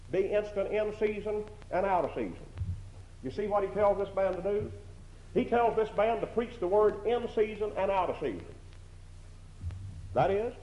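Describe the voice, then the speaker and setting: A man's voice: high voice, mellow, a little hiss or hum. Man, preacher, strong voice, emphatic sermon, rhetorical questions, echoey church, dull lo-fi recording.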